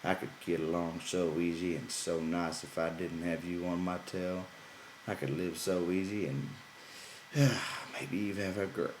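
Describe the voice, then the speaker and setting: Irritable working man, done by a male reader: low, brusque, bossy, rustic dialect, audiobook character in a roomy recording. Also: steady tone, measured pace. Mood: exasperated. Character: gruff, irritable, bossy male ranch hand. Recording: roomy echo, background noise.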